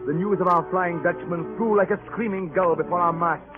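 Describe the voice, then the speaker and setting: An actor playing a weary, exasperated ship captain, grave and measured, in a 1930s radio drama. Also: male voice, high-pitched, fairly even intonation, natural voice. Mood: grave, bitter. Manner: measured, grave, literary storytelling. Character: weary, exasperated male ship captain narrating his ordeal. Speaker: narrator and lead actor. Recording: tinny, band-limited vintage radio with music.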